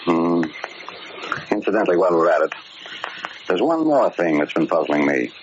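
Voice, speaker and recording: A man's voice: low voice, very animated, normal speed. An actor in a 1940s radio drama playing a paternal, exasperated prison warden, earnest and insistent in his questioning. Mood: probing, firm. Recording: muffled, hissy vintage radio broadcast recording.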